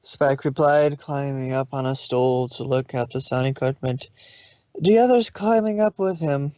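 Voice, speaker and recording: A woman's voice: a bass voice, unhurried, warm tone, no room sound. Woman narrating, dull dry recording. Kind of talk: scripted story narration.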